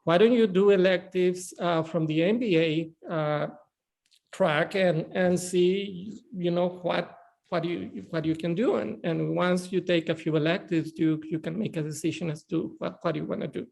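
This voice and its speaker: Man, halting video-call interview. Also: high voice, steady tone, gravelly, darkish tone. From northern Mexico. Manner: halting, many restarts and repeated words. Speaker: bank CEO, guest.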